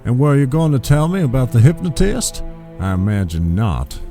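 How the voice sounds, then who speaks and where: A man's voice: mid-range voice, sing-song, normal speed, dark tone. An actor in an audio drama playing a controlling middle-aged husband and father, formal and placating with an edge of menace. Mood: insistent, wounded. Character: controlling, smooth-talking wealthy patriarch, husband and father. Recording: music under the dialogue.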